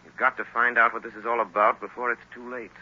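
An actor playing a decisive, take-charge gentleman detective in a 1930s radio drama. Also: mid-range voice, flat delivery, fast, over music, natural voice. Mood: brisk, urgent. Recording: muffled old 1930s radio recording.

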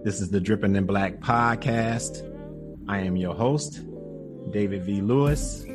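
A man hosts a podcast in a friendly, upbeat way. His voice sits very low. Music plays clearly behind him. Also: a little slow. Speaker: podcast host. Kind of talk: casual interview.

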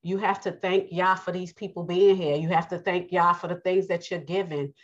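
A woman with a New York accent, host of her own podcast, giving an earnest, unhurried spoken sermon with many pauses. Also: lowish voice, fairly even intonation, muffled recording.

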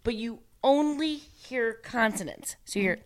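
A woman, an actress, telling loud, emphatic anecdotes in casual chat. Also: on the high side, animated, a little slow, background noise. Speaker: actress, guest.

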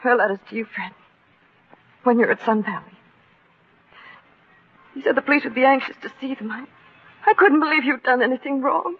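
Anxious high-voiced wife, tinny radio. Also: female voice, normal speed, long pauses, husky. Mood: anxious, confessing. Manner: breathless, hesitant, emotional. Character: anxious, trusting wife of a murderer. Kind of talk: 1940s radio melodrama acting. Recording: tinny, narrow old radio broadcast.

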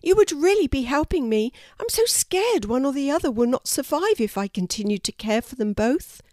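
A woman's voice: sits high, very animated, normal speed, darkish tone, no room sound, studio quality, pitched above the performer's own voice. A woman voicing a worried mother wallaby in a children's storybook, pleading earnestly.

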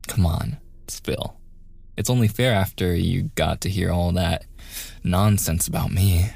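A young man acting a playful, teasing younger brother in a soft, intimate boyfriend roleplay with casual, hesitant phrasing. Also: low voice, a little slow, gravelly, dry recording, full character voice.

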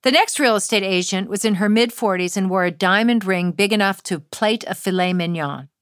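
A woman's voice: mid-range voice, fairly quick, clear, resonant tone, no room sound. A woman writer reading her own first-person essay aloud with wry, dry humor.

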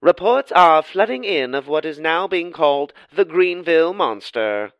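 A man's voice: fairly high pitch, expressive, unhurried, a bit bright, dry recording, pitched above the performer's own voice. A man voicing a female TV news anchor in a read-aloud story, with a formal newsreader delivery. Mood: urgent.

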